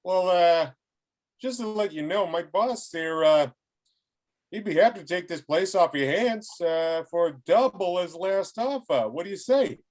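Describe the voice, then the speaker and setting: A man reads a polite construction foreman in a script read. His voice sits high for a man, and he speaks hesitantly, trying to keep the peace. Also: animated, a little slow, a little mellow. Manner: hesitant, conciliatory. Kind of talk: online script table read.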